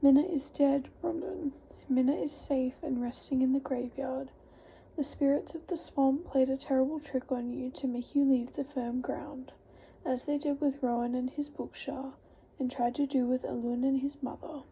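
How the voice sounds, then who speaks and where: A woman whispering a gentle strong man. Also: high-pitched, steady tone, moderate pace, vocal fry, a little hiss or hum, rough recording.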